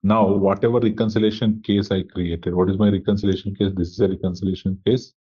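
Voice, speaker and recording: A man working as a software trainer, walking through a live demo step by step, thinking aloud and asking himself questions. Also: low voice, flat delivery, fast talker, husky, dull audio.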